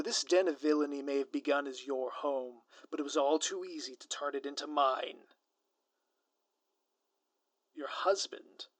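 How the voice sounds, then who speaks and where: A man's voice: a little high, fairly bright tone, no echo. A man playing a gleefully scheming, grandiose supervillain in an audio roleplay, pompous and taunting with florid, melodramatic delivery. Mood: gloating, taunting.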